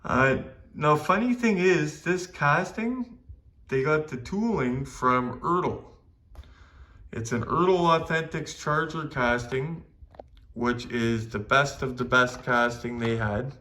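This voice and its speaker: A man's voice: medium pitch, slow pace, bright, forceful tone. Enthusiastic Canadian man reviewing collectibles. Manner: enthusiastic, repeats phrases for emphasis. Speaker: collectibles video host. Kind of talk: casual product review talking to viewers.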